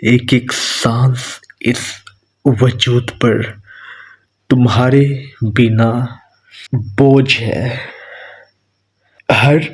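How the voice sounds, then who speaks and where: Man playing young vampire king, breathy and husky, extremely unhurried, cold menace, aloof, dark romance audio roleplay. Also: mid-range voice, steady tone, low-quality recording. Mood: grieving, tender. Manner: aloof, condescending, slow deliberate delivery. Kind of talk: dramatic romantic ASMR roleplay acting.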